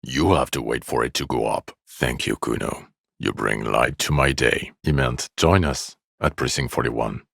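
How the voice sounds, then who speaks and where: An actor playing a jaded, sardonic middle-aged police detective in a video game, blunt and weary, with a gravelly voice. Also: male voice, very deep voice, moderate pace, fairly bright tone, dry recording, clean studio sound, full character voice.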